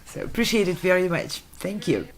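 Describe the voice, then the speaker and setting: A woman whose first language is Russian, moderating a music jury, giving gracious feedback with many pauses and fillers. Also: on the low side, expressive, a little hiss or hum. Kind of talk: panel feedback and announcing.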